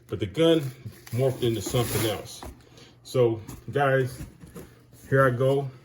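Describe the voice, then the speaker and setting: Older male collector-host, low-ish nasal voice with a cold, loose rambling delivery. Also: darkish tone. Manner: rambling, with many fillers and restarts, calls viewers "guys". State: has a summer cold. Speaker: airgun collector and channel host. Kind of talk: casual product talk to camera.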